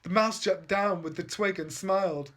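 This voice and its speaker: A man's voice: high voice, normal speed, a bit bright. A man, a father, reading a rhyming children's storybook expressively.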